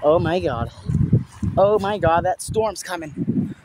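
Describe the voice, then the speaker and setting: Excitable young man filming outdoors. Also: high voice, slow. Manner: excited, repetitive exclamations. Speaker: vlogger. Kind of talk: casual walking vlog. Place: outdoors on a small-town street. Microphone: phone camera held at arm's length. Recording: outdoor street noise.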